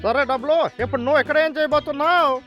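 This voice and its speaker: A man's voice: extremely high pitch, very fast, a bit bright, muffled recording, faint music behind. A man voicing a greedy, scheming hunter in a cartoon dub, boastful and taunting in a theatrical way. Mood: bossy. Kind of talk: animated cartoon dub acting.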